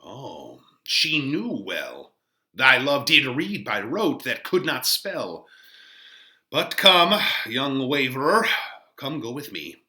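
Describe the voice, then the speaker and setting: A man playing an old friar who chides and cautions in a theatrical, emphatic Shakespearean reading with drawn-out phrasing. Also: medium pitch, very animated, sharp tone, reverberant, exaggerated voice. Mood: knowing, conciliatory.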